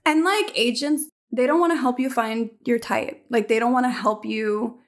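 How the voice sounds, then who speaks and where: Woman, thirties, casual chat, rambling, lots of "like", higher voice. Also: normal speed. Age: 30s. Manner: rambling, heavy use of "like".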